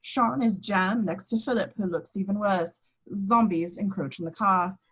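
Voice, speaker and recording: A woman's voice: medium pitch, moderate pace, fairly bright tone, breathy edge. A woman giving scripted narration, reading out the action lines of a film screenplay at a table read.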